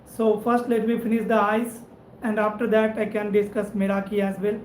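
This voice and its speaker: A man with an Indian English accent chats on a live stream in a steady, flat tone, warmly thanking viewers one by one. His voice carries a roomy echo, and faint background noise sits under it. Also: sits high, moderate pace. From India (Madhubani, Bihar). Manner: friendly, repetitive thanks, frequent "right?" tag. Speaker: networking instructor and channel host. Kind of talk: live-stream chat reading viewer comments.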